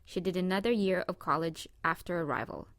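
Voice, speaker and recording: A woman narrating a scripted presentation in a measured, read-aloud manner. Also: on the low side, no echo.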